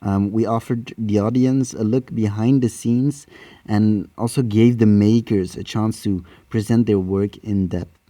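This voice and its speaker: Halting young man with a bright, lowish voice. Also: steady tone, dry recording. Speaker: podcast maker being interviewed. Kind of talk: prepared interview answers.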